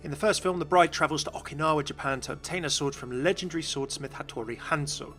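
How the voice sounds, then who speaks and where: A man's voice: a little high, fast talker, a bit bright, faint music behind. A man giving upbeat, informative explanatory commentary as narrator of a video game channel, recorded close on mic.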